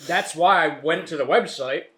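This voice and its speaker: A man's voice: a little high, a bit bright. A man hosting a whiskey review show, chatting casually and trailing off into restarts. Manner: rambling, trails off and restarts mid-sentence; reads facts aloud haltingly. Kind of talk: casual tasting chat.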